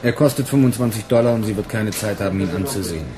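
A man's voice: fairly low pitch, quick pace, warm tone, a little hiss or hum, natural voice. A man playing a cynical, cold-blooded bounty hunter in a German radio drama, speaking in a dry, blunt, controlled way.

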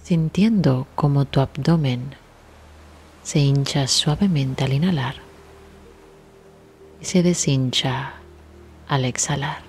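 A woman leading a guided relaxation meditation in a soft, breathy, near-whispered voice, soothing and gentle, with long pauses. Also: very deep voice, soft background music. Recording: steady ambient sea sound behind voice. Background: sea waves ambience.

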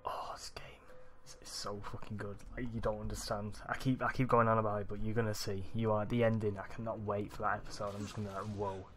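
A young man with a Manchester accent, rambling excitedly over a video game, his morning voice groggy and deep. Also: fast talker, hardly any pauses, a little hiss or hum. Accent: Manchester English. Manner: rambling, excitable, swears often. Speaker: let's play gamer. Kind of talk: casual gameplay commentary.

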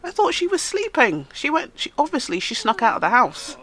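Bright-voiced, stuffed-up woman gaming host. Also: sits high, huge pitch swings, a little fast. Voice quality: nasal. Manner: chatty, talks to the game characters. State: stuffed up from a cold. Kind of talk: casual let's-play commentary.

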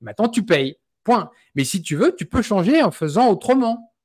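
A man's voice: high voice, sing-song, normal speed, darkish tone, husky. A man in his forties with a France French accent, hosting an interview and speaking outspokenly and with indignation into a studio mic. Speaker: host. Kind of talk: interview, passionate opinion. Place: small indoor room. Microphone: studio mic on stand.

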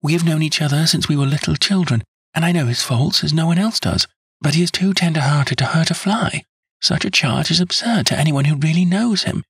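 An earnest young woman , as a male reader puts her on, insistent and pleading, her voice lifted high above his own. It is breathy and husky, close and dry, with no room around it. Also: brisk, no echo. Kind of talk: audiobook character voice.

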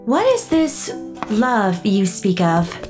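Haughty, naive cartoon alien girl, played by a woman: unhurried, formal, with swooping intonation. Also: medium pitch, big pitch swings, over music. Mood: curious, earnest. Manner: formal, deliberate phrasing. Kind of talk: animated comedy dialogue.

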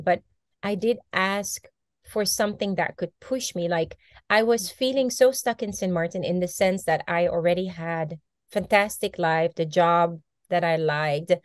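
A woman in her forties, a native Dutch speaker, telling her story with animated gestures over a video call from a bright room at home. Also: on the low side, moderate pace, no echo, clean studio sound. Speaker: guest, mindset coach. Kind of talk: casual video-call interview. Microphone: video call laptop mic.

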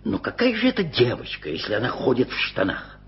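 A man's voice: medium pitch, wildly swooping pitch, fairly quick, vocal fry, breathy edge, heavily acted. An actor playing a fussy, flustered middle-aged male editor who hesitates and mumbles, in a vintage radio play. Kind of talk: 1980s radio drama acting. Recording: dull-sounding vintage radio recording with music.

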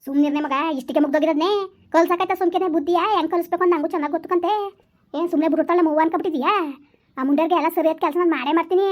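A woman's voice: very high-pitched, steady tone, very fast. A woman voicing a scheming rural mother who scolds and reassures her daughter while plotting revenge. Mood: soothing. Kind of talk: village family drama acting.

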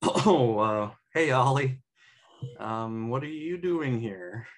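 A man's voice: mid-range voice, expressive, takes it slowly. A man playing a sheepish, easygoing best friend in a sitcom table read, hesitant and joking. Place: dim indoor room at home on video call. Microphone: video call.

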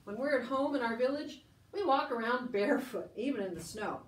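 A woman's voice: a little high, lively intonation, fairly quick, close to an everyday voice. Echoey, playful puppet chief voiced by a woman. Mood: proud, explaining. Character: bashful yet boastful, playful Eyak chief puppet. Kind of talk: educational puppet show monologue. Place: indoor room, puppet stage. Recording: echoey room, slightly distant mic.

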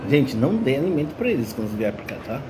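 A man's voice: mid-range voice, very animated, fast, background noise. An older man with a Brazilian accent, chatting casually to viewers on a phone camera in a cat café, delighted by the cats. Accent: Brazilian Portuguese. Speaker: vlogger. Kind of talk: casual vlog chat. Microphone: phone held at arm's length. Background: background music.

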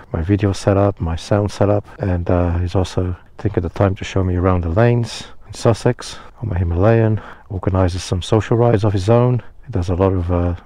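Man vlogging outdoors, low mellow voice, rambling and self-deprecating, dry close sound. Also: no room sound. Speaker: motorcycle vlogger. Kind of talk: casual vlog talk to camera. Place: outdoors by a roadside phone box. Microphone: head-mounted action camera.

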